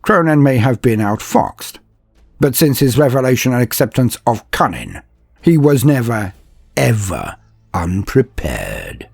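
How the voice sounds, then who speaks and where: A man's voice: mid-range voice, sing-song, measured pace, creaky, a little mellow, husky, no room sound, clean studio sound. A man narrating a comedic fan story in a grand, mock-epic storyteller manner, over faint music.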